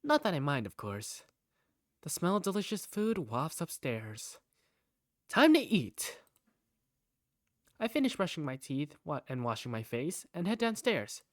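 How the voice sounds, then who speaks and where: A woman plays an easygoing young visual novel protagonist in a fan dub. Her voice sits low for a woman and moves animatedly, with a casual, wry, teasing delivery. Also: sing-song, unhurried, long pauses, no room sound, clean studio sound. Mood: excited. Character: easygoing young protagonist narrating everyday life, wry and playful. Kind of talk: visual novel fandub narration and dialogue.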